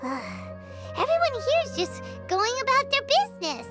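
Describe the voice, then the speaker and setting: A woman voicing a small, childlike fairy companion in a video game, squeaky, chirpy and blunt. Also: wildly swooping pitch, a little slow, full character voice. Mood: curious. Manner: exclamatory, refers to herself by name. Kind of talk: anime-style video game voice acting. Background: music.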